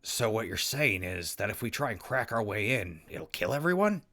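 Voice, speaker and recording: Wasteland radio host played by a man, wry, folksy, gravelly, bright forceful tone, audio drama. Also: on the low side, sing-song, moderate pace, full character voice. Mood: concerned. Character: Crispy, wry, big-hearted male wasteland radio host. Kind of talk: post-apocalyptic audio drama acting.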